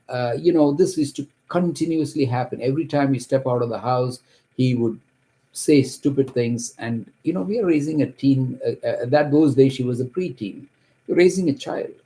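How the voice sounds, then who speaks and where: A middle-aged man from Kerala, India, who grew up speaking Malayalam, gives an earnest, halting account of his own story from his home office. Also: mid-range voice, warm tone, poor audio. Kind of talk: personal testimony to camera.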